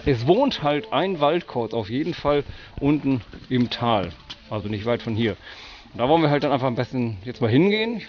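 A man's voice: mid-range voice, animated, moderate pace. A man talking casually as he guides a group outdoors. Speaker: conservationist, owl walk guide. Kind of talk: casual talk guiding a group. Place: outdoors at night. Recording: dull outdoor field recording.

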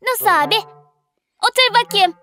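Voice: extremely high pitch, expressive, measured pace, pushed and bright, soft background music, full character voice. A performer voicing a cheeky, preachy little girl, a younger sister in a children's cartoon, with a childlike, sententious manner. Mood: self-assured. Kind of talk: cartoon dub acting.

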